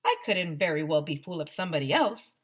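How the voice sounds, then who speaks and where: A woman teacher reading a children's chapter book aloud in an expressive, animated way. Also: low voice, brisk. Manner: animated, acts out dialogue.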